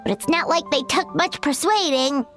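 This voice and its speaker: A woman voicing a small, excitable fairy companion who talks about herself in the third person, in a video game. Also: high-pitched, normal speed. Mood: indignant. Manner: refers to herself in third person, chirpy and emphatic. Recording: faint game music behind.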